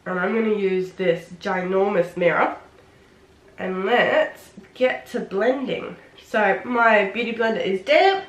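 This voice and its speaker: A woman with a head cold, sounding nasal and sniffly, chatting excitedly through a casual makeup tutorial. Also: mid-range voice, slow pace. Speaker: beauty vlogger. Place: home room. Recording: roomy, echoey room.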